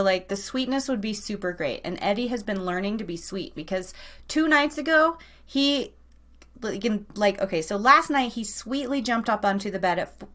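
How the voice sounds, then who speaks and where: A woman hosting a live online show, talking in a casual, slangy, animated storytelling way. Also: mid-range voice.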